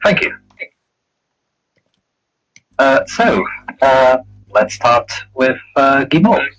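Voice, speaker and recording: A man setting up and explaining a board game, halting with many fillers. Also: medium pitch, very animated, a bit bright, rough recording. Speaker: game referee.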